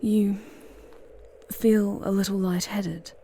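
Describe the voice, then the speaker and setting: A woman playing a charming, seductive demon guide through hell in an intimate ASMR roleplay, with theatrical, formal phrasing and breathy delivery that often drops into whispers. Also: mid-range voice, flat delivery, slow pace, dark tone, slight background noise. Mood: coaxing, intimate. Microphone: close ASMR microphone.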